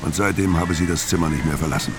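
A man's voice: deep voice, quick pace, noisy background, natural voice. An actor in a radio crime drama playing a laconic, brooding, world-weary middle-aged tough guy. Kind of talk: first-person narration in radio crime drama.

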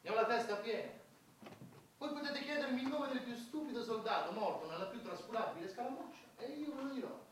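An actress playing a bitter, outspoken clerk of the war dead, emphatic and complaining in a theatrical radio drama style. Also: female voice, on the high side, lively intonation, a little fast, lightly acted. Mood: exasperated. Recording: distant echoey room, clearly noisy.

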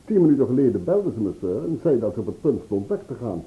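An actor playing a dutiful, deferential building porter in a Dutch radio play, polite and servile. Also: male voice, medium pitch, sing-song, quick pace, lightly acted. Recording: tinny narrow old radio recording.

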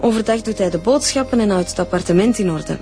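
A woman's voice: medium pitch, fast, music in the background, close to an everyday voice. An actress playing a guarded, defensive woman being questioned in a radio drama. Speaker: victim's daughter.

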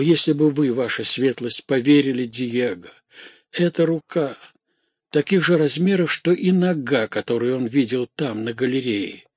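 A man's voice: mid-range voice, slightly rough, no room sound, clean studio sound, pitched above the performer's own voice. A man voicing a hysterical, garrulous young maidservant in a story, frightened and indignant, with breathless, exclamatory, rambling delivery. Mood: frightened pleading.

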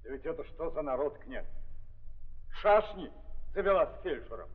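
An actor playing a gossipy, deferential prison warden with a colloquial manner, in a 1930s theatrical radio drama. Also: male voice, a little high, expressive, normal speed, natural voice. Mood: gossiping. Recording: muffled, echoing 1930s archive recording.

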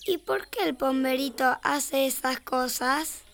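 High-voiced, curious little girl. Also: gravelly, fairly bright tone, slight background noise, full character voice. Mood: surprised, wondering. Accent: Argentine. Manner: wide-eyed, inquisitive, unhurried. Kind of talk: children's radio theater.

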